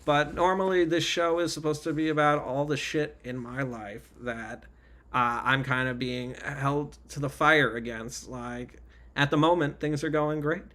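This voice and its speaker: A man's voice: on the high side, steady tone, a little slow, a bit bright. A man hosting a casual podcast over a video call, rambling and joking at his own expense. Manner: rambling, self-mocking, restarts. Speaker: podcast host.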